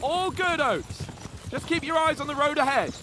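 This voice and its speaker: An actor voicing a haughty, high-spirited young nobleman in a video game, with a flippant, teasing manner. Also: animated, moderate pace, noisy background. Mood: confident, jaunty.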